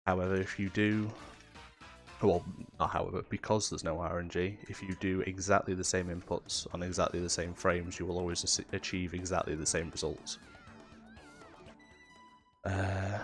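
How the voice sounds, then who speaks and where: A man with a cold and a nasal voice, casually explaining technical tricks as he commentates his own speedrun. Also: sits low. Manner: relaxed, explanatory, with fillers. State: has a cold.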